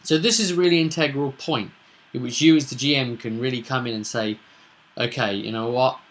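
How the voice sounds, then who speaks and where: A man's voice: medium pitch, brisk. A man giving casual, unscripted commentary as a game master, talking in a rambling, chatty way with emphatic bursts. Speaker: game master presenting own session recap.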